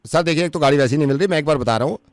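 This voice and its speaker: A man's voice: a little high, rapid-fire, noisy background, close to an everyday voice. A man putting on a whiny, pushy car salesman. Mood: defensive, stubborn. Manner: argumentative, repeating himself. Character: pushy, whiny car dealership agent, defensive and stubborn. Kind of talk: prank phone call.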